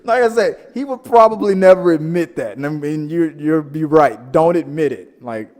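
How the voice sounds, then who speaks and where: A man hosting a casual pop-culture show, telling stories in a rambling, animated way. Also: on the high side, normal speed. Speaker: podcast host. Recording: reverberant, echoing room sound.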